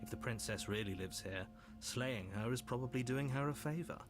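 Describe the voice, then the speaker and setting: An actor voicing a stern narrating voice in a game, measured and commanding. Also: male voice, mid-range voice, over music, close to an everyday voice. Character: stern male narrator voice guiding the hero.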